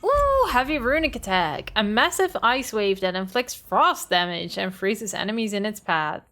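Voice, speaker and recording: A woman's voice: mid-range voice, sing-song, normal speed, pushed and bright, faint music behind. Playful woman streamer. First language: Dutch. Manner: chatty, playful, greets chat. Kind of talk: live gameplay commentary.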